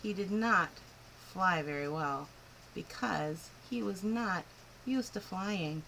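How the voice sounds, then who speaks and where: A woman reading a picture book aloud to children in an expressive, clearly phrased storytelling style. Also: lowish voice, slow pace, slight background noise, low-quality recording. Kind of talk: animated children's story narration.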